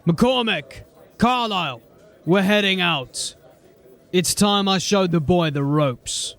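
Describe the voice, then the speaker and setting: An actor playing a blunt, world-weary watch sergeant in an audio drama, plain-spoken and grim. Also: male voice, high voice, slow, a bit bright, heavily acted. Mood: steady, grim.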